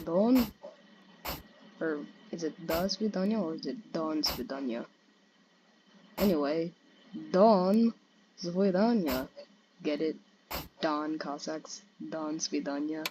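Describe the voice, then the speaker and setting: A young teen boy with a high, childlike voice, casually commenting on a strategy game in a cheeky, offhand way. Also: takes it slowly, pauses often, background noise. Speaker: gaming channel host.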